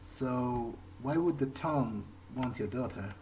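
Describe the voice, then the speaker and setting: A man's voice: mid-range voice, slow pace, a little mellow, a little hiss or hum. A man improvising in character as he plays a video game, speaking in a hesitant, musing, understated way. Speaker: let's player.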